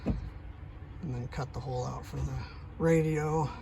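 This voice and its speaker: A middle-aged man talking through a DIY job in a casual, halting, plain-spoken way, thinking out loud. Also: mid-range voice, fairly even intonation, vocal fry, background noise. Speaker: truck driver, DIY vlogger.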